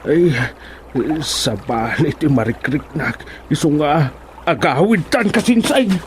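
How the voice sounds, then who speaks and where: Hesitant Ilocano-accented cousin for radio comedy, rather high-pitched, slow and fretful. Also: male voice, lively intonation, a little hiss or hum. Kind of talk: radio comedy drama acting.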